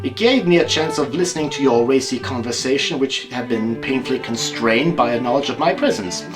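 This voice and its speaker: A man playing a suave, mocking gentleman detective in an audio drama, with a genteel, precise, drily amused delivery. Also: mid-range voice, fairly quick, music in the background, lightly acted. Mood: triumphant. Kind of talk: amateur audio drama acting.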